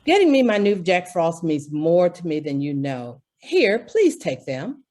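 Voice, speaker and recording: Female friend role, radio drama woman acting: gracious, polite, a touch flustered, fairly low and very lively. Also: a little slow. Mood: grateful, gracious. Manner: polite, genteel, effusive. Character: gracious, grateful lady friend, slightly flustered.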